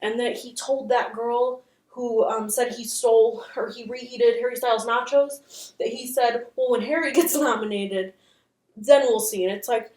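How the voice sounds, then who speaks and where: Female commentary host, higher register, chatty and opinionated with playful drama, echoey room sound. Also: steady tone, normal speed, a little mellow, a little hiss or hum. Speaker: host of a channel on internet horror. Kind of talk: casual video commentary.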